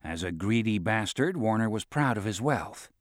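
Male audiobook narrator, wry and measured, animated pitch, dry studio sound. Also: no room sound. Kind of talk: scripted first-person narration.

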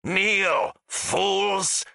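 A man's voice: mid-range voice, very animated, a little slow, pushed and bright, no echo, pitched above the performer's own voice, heavily acted. A man voicing a wrathful undead spectre warlord in an audiobook, hollow and harsh, grandiose and commanding. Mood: thundering command. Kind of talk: dramatic audiobook character voice.

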